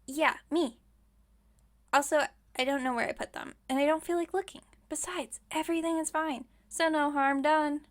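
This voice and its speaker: Woman acting high-voiced, scatterbrained, overconfident witch. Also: steady tone, moderate pace, lightly acted. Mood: defensive. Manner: chatty, breezy, self-assured, musing aloud. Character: scatterbrained, overconfident witch shopkeeper, cheerfully reckless. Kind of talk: ASMR roleplay audio drama.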